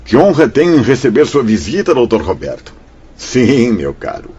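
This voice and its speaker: A Brazilian actor playing a kindly, paternal spirit director in a radio drama, speaking formally and solemnly with gentle reassurance. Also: male voice, mid-range voice, huge pitch swings, moderate pace, a little hiss or hum. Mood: gracious, earnest. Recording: dull radio drama sound with music.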